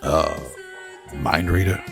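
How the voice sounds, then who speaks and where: A man's voice: a bass voice, steady tone, slow pace, creaky, fairly bright tone, full character voice. A man playing a world-weary space detective in a radio drama, wry and laid-back in a noir way. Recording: music behind the voice.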